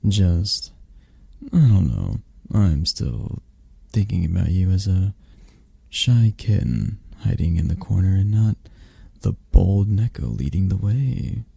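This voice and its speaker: Very deep, mellow, tender dragon boyfriend played by a man. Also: sing-song, gravelly, husky, no room sound, heavily acted. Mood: playful, teasing. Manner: soft, teasing, reassuring, hesitant pauses. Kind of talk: intimate ASMR roleplay. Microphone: close, dry microphone.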